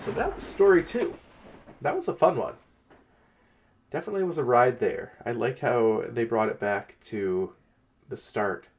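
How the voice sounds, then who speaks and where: A man hosting and narrating fantasy stories as a game master, theatrical, with weighted pauses. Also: on the low side, creaky. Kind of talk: dramatic reading and channel presenting.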